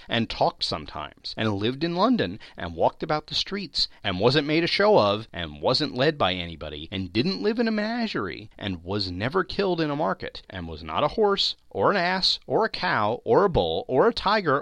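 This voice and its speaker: A male narrator reads classic fiction theatrically, his pitch swinging widely for emphasis. The voice is bright and forceful, recorded close and dry. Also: no echo. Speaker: podcast host, narrator. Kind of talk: dramatic audiobook reading of a classic story.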